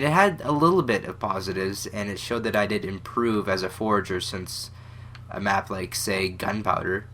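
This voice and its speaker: A man's voice: on the low side, sharp tone. A young man with a stuffy, congested voice, casually and self-deprecatingly commenting on his own old game maps, full of fillers and restarts. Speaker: gaming channel host.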